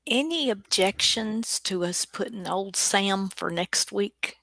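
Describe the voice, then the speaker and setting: Slow, creaky-voiced woman host. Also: mid-range voice, lively intonation, bright, forceful tone, no echo. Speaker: radio show host. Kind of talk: casual chat.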